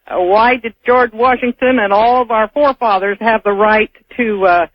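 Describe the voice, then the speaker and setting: An American woman calling a radio show, arguing her opinion in a halting, unscripted way. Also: on the high side, steady tone, no echo. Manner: halting, argumentative. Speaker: call-in radio caller. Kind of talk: unscripted opinionated phone talk. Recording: dull telephone line on AM radio.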